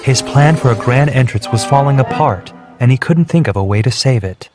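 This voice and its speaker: Guy narrating a kids story, normal male pitch, music playing pretty loud behind him. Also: expressive, a little fast. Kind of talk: scripted children's storybook narration. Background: background music.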